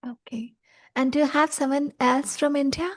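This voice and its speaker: A woman interviewing a guest in casual conversation, asking her questions slowly and carefully with fillers. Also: high voice, fairly even intonation, dry recording.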